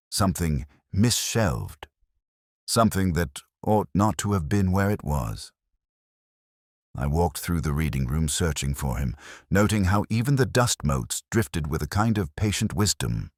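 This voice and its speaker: A man with an extremely low voice narrates as a melancholic, introspective police inspector. His solemn, literary delivery is measured yet moves expressively up and down in pitch. The recording is dry and close, with little room sound. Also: no room sound. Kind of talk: scripted first-person detective story narration.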